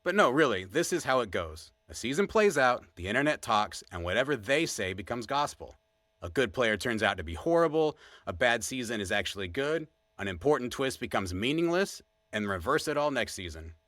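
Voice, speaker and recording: A man's voice: medium pitch, very animated, a little fast, a bit bright, no echo. A man playing a wry, opinionated podcast co-host in casual scripted banter. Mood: insistent.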